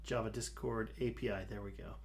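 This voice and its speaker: A man hosting a live coding stream, talking calmly through his code with a soothing voice and many fillers. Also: fairly low pitch, a little hiss or hum. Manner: slow, calm, talks through code with fillers. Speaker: livestream host.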